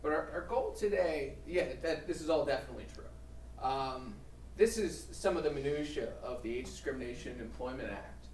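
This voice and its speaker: A man's voice: mid-range voice, fairly quick. A man presenting at a seminar, talking conversationally with many restarts and fillers. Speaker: employment lawyer presenting a seminar. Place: seminar room. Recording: roomy, distant mic with faint room noise.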